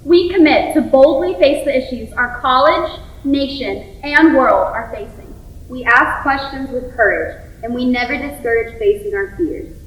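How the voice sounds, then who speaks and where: A young woman performs a scripted spoken-word recitation in a high voice. She sounds distant from the mic in an echoey room. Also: unhurried, fairly bright tone. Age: young adult. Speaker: student performer.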